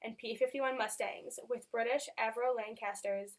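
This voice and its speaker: A woman narrates a historical newsreel in a moderately high voice. She is reading prepared lines as a scripted report. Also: fairly even intonation, normal speed, low-quality recording.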